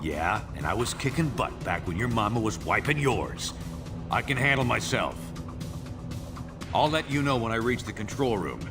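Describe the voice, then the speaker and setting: A man playing a gruff, tough veteran spy commander in a video game, blunt, streetwise and commanding. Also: mid-range voice, animated, normal speed, natural voice. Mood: brusque. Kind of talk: video game cutscene acting. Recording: loud music behind the voice.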